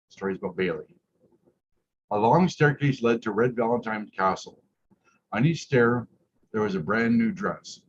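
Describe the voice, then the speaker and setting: A man who is a drag queen reading aloud at story time, stumbling over words at times and chatting casually between passages with the odd "eh". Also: lowish voice. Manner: tags remarks with "eh". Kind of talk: story time read-aloud with chanted refrains and casual asides. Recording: muffled lo-fi audio with clear background noise.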